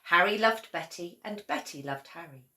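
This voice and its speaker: A woman reading a children's story aloud in a measured, expressive storytelling manner, like a primary school teacher. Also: fairly low pitch.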